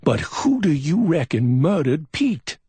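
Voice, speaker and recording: A man voicing a slow, baffled male officer from the Carolinas, hesitant and drawling. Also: fairly high pitch, very animated, dark tone, breathy edge, no echo, clean studio sound, voice pushed up, full character voice. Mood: glum.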